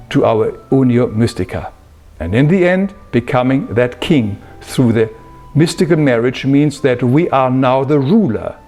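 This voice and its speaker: A man giving an explanatory spiritual talk in an earnest, emphatic manner with measured phrasing. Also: medium pitch, warm tone. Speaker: spiritual teacher.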